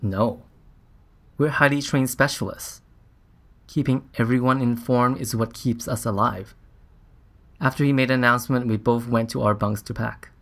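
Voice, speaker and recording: An actor playing an earnest, shaken scientist on a Mars mission, recounting events in a halting, reflective way as in a documentary interview. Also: male voice, mid-range voice, steady tone, fairly bright tone, lightly acted. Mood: somber, reflective.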